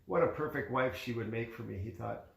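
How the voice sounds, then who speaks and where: A man's voice: mid-range voice, a little fast, gravelly. A man reading a children's story aloud in a conversational way, with casual asides to a child as a parent would. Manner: conversational, pointing out pictures to a child. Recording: echoey room.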